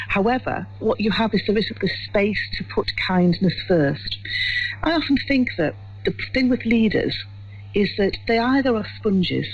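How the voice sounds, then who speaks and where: Older woman, raspy edge, reflective guest speaker, muffled radio recording. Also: medium pitch. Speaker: retired head teacher, interview guest. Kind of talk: radio interview.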